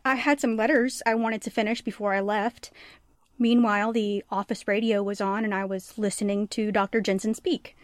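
A woman's voice: medium pitch, dry recording, clean studio sound, lightly acted. A woman acting a timid, dutiful office stenographer in a 1940s-style radio drama, hesitant and polite.

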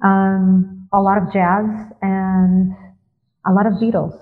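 A young woman from Greece, a guest in a casual interview, thoughtful, often pausing with fillers before she answers. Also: medium pitch, flat delivery. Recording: tinny phone-like line.

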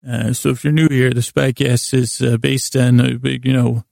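Gravelly, droning American man rambling softly. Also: mid-range voice, level tone, no echo, studio quality. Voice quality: gravelly, creaky. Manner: halting, self-deprecating, trails off and circles back. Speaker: sleep podcast host. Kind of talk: rambling, meandering bedtime monologue.

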